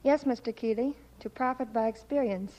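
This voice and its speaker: A woman's voice: a little high, brisk, mellow. A young woman from Ohio, a guest starlet giving a scripted 1940s radio interview and testimonial. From United States, Ohio. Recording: dull, reverberant old radio broadcast.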